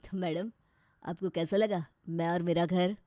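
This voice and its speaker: Woman as a mellow-voiced carefree young Indian husband. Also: fairly low pitch, fairly even intonation, unhurried, dry recording, studio quality, voice pushed down, lightly acted. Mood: coaxing, irritated. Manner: casual, complaining.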